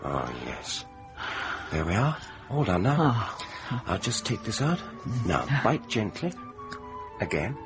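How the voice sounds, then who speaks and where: An actor playing a genteel dentist in a radio play, precise and soothing with quiet menace. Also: male voice, fairly low pitch, sing-song, takes it slowly, over music. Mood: quietly menacing. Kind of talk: naturalistic radio drama acting.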